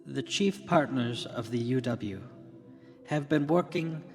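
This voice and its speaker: An earnest tech leader played by a man delivers a solemn keynote in a radio drama. The delivery is slow and deliberate, with pauses between phrases. Long hall reverb surrounds the voice, and music plays behind it. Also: medium pitch, animated. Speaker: keynote speaker.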